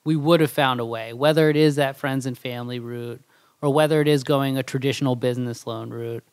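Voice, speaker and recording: A man's voice: mid-range voice, fairly even intonation, brisk, smooth, dry recording. A man answering podcast questions in a plain, matter-of-fact way. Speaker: kombucha company co-founder. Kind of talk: casual podcast interview.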